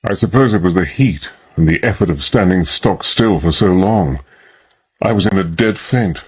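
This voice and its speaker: Weary gentleman sleuth, low and husky, understated, brooding, slightly muffled. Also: animated, normal speed. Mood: wry. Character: troubled, weary gentleman adventurer in a spy mystery. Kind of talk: radio drama acting.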